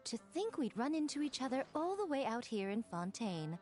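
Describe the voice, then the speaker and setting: A woman's voice: fairly high pitch, sing-song, normal speed, a little mellow. A woman playing a poised, sly, teasing lady in a video game, coy and knowing. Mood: sly amusement. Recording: music behind the voice.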